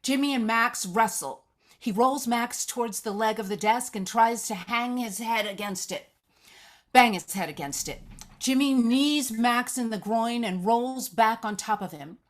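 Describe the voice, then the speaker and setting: A woman's voice: on the high side, unhurried. Woman reading screenplay stage directions aloud. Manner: descriptive, reading aloud with stumbles. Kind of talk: scripted narration, live screenplay read.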